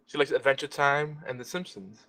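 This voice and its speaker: A man chatting casually as a podcast co-host, explaining things in a loose, halting way. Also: medium pitch, level tone, sharp tone. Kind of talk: casual chat. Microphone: online voice chat mic.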